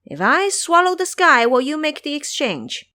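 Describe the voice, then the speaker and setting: A woman's voice: sits high, sing-song, normal speed, bright, forceful tone, pitched above the performer's own voice, exaggerated voice. A woman voicing a boastful, crafty male monkey trickster in an audio storybook. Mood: cocky.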